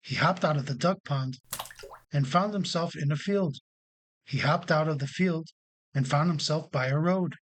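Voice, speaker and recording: A man's voice: a little high, moderate pace. A man narrating a children's storybook as a read-along storyteller.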